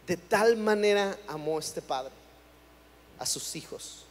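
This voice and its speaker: A man's voice: sits high, animated, slow. A young man from Chihuahua, Mexico, preaching a sermon in a church in a conversational way, often repeating words as he starts a phrase. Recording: long hall reverb.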